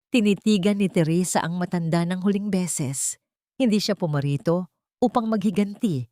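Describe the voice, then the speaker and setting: Lady with a low voice reading a dramatic audio story, solemn n measured, really dry recording. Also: darkish tone, dry recording. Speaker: storyteller, narrator.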